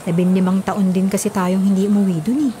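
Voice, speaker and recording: A woman's voice: medium pitch, little pitch movement, fairly quick, warm tone, a little hiss or hum, natural voice. A Filipina actress in her twenties playing a hesitant, worrying student in a radio drama. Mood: doubtful, worried. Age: 20s. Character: hesitant, worrying young female student cousin. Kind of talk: Tagalog radio drama acting. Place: small padded radio studio. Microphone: studio microphone on boom arm.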